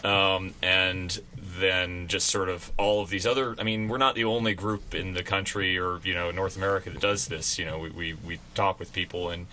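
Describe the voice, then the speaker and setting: Bright, low-voiced man rambling informally. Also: steady tone, moderate pace, hardly any pauses, a little hiss or hum, low-quality recording. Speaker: radio theater member, interview guest. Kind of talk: casual radio interview.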